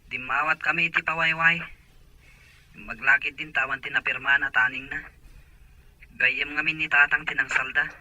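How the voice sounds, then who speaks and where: A man's voice: on the high side, little pitch movement, normal speed, pauses often, sharp tone, no room sound, lo-fi sound. A man with an Ilocano accent acting as a worried adult son in an audio drama. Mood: concerned, questioning. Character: adult son anxious about the family's pawned farmland.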